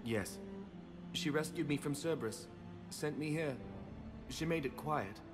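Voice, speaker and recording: An actor playing a gentle, literal-minded young male savant in a video game, in short halting phrases. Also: fairly high pitch, over music, lightly acted. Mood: earnest, precise.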